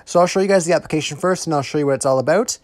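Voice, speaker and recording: A young man narrates a tutorial in a casual, conversational way. His voice sits a little higher than most men's. The sound is close and dry, with no room echo. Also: very fast. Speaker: host of app tutorials.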